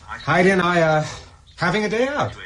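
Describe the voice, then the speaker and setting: Easygoing ex-army chap, highish lively voice, busy background, radio drama. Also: male voice, normal speed, a crowd in the background. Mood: casual. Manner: casual, good-humoured. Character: easygoing, eager ex-army man.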